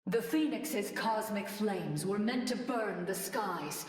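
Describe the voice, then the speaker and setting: Solemn cosmic goddess game voice, big echo, slow and accusing. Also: female voice, medium pitch, lively intonation. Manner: slow, portentous. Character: solemn, vengeful cosmic being of fire. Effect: reverb. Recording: echoing, long reverb effect.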